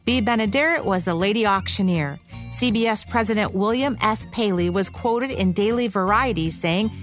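A woman's voice: medium pitch, moderate pace. American woman co-hosting radio, music behind. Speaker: radio show co-host. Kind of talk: scripted narration about old radio.

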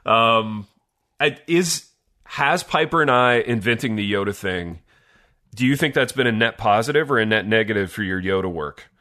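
A man's voice: lowish voice, expressive, normal speed, bright, forceful tone. A man hosting a podcast in casual, slangy banter, jokey and dryly mock-serious. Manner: jokey, slangy, addresses co-hosts as dude and baby. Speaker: podcast host.

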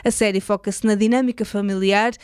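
A woman's voice: medium pitch, steady tone, fairly quick, darkish tone, clean tone, no room sound, studio quality. A woman with a European Portuguese accent reading scripted narration as the reporter of a news feature.

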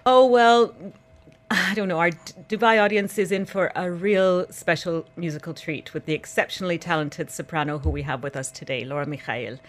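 A woman's voice: on the low side, moderate pace. Enthusiastic woman promoting a concert series. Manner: enthusiastic, polished, superlative-rich. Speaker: concert pianist and artistic director. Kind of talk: promotional interview talk.

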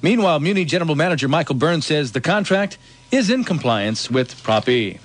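A man's voice: on the high side, sing-song, a little fast, slight background noise. A man with an American accent anchoring radio news, reading headlines and weather live.

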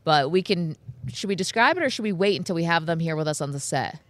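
A woman co-hosting a sports talk show, chatting casually with quick asides and frequent laughs. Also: on the low side, animated, a bit bright, dry recording. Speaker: sports radio co-host.

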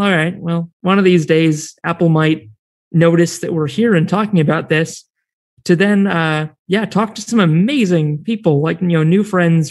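A man with an American accent, a podcast network founder, chatting casually as a guest and rambling with restarts. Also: fairly high pitch. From New Zealand and United States. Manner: rambling, many fillers and restarts, laughs easily. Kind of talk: casual interview chat.

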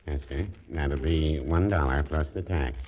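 An actor playing a mild older small-town druggist in a 1940s radio comedy, deadpan and hesitant. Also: male voice, extremely low pitch, unhurried. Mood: deferential, dry. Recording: muffled, noisy old radio recording, clicks.

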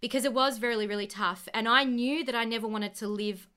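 Australian woman, late twenties, bubbly and earnest, bright forceful tone, smooth crisp voice. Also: a little high, fairly even intonation, fairly quick. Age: 20s. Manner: bubbly, laughs often, earnest storytelling. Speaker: podcast guest, co-founder. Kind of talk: casual podcast chat.

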